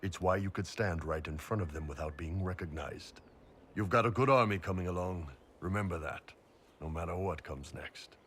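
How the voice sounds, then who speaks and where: Genial male merc boss: deep, blunt, casual game dialogue over ambience. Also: moderate pace, fairly bright tone, a little hiss or hum. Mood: blunt, serious. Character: gruff, blunt, genial male mercenary captain. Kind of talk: video game dramatic dialogue.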